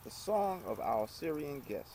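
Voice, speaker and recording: A man reading an old religious story aloud as an amateur narrator, in a halting, deliberate way that stumbles over words. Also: on the high side, big pitch swings, a little mellow, slight background noise, poor audio. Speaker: amateur audiobook reader.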